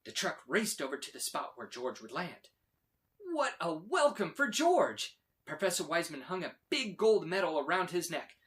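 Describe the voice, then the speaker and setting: A man reading a children's storybook aloud in a dramatic, expressive way with emphatic delivery. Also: on the high side, moderate pace. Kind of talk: animated scripted storybook read-aloud.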